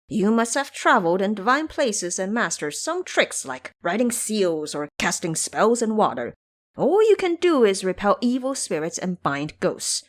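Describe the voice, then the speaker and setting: Male woodcutter played by a woman, emphatic and exasperated, storybook adventure, mid pitch, big swings in tone. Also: sing-song, normal speed, natural voice. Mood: grim warning. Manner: scolding, emphatic. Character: blunt, exasperated male woodcutter warning travelers. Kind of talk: audio drama storytelling.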